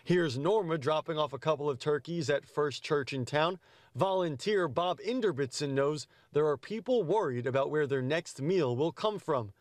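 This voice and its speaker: Young man, TV news reporter, brisk upbeat delivery, handheld mic, outdoors. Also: a little high, dry recording, studio quality. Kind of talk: live field report and packaged voice-over. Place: outdoors at a church parking lot. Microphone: handheld station mic.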